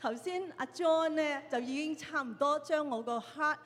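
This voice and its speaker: A woman's voice: sits high, moderate pace, a bit bright. A woman with a Cantonese accent preaching in a church, often laughing and searching for words. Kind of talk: sermon with interpreter. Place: church hall. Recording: long hall reverb.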